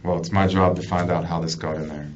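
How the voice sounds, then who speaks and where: Low, flat-voiced male detective questioning. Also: a little fast, recorded from a distance. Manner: blunt, persistent questioning with repeated phrases. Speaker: police detective. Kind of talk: police interrogation. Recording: muffled lo-fi interview recording, clear background noise.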